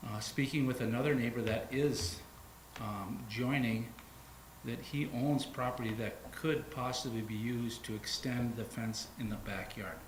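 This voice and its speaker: A man in his fifties making a halting, earnest public comment at a city council meeting. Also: medium pitch, fairly even intonation. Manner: halting, earnest, many restarts. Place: council chamber. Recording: echoey chamber with noise.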